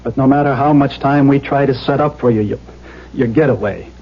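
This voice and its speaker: An actor playing an anxious, respectable banker, hesitant and placating, in an old-time radio drama. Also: male voice, mid-range voice, brisk, lightly acted. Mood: pleading. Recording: dull old radio recording.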